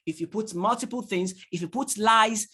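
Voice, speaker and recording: A male tutor with a Nigerian accent leads an online session for parents over a computer mic. He talks in an animated way, stacks rhetorical questions and laughs easily while reading the chat. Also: high voice, moderate pace, husky. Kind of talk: online training lecture to parents.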